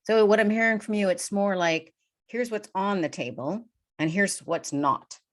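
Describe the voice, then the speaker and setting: A woman's voice: medium pitch, normal speed. A woman interviewer in a conversational discussion, thoughtfully probing and summing up what she is told. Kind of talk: conversational interview, recapping and questioning.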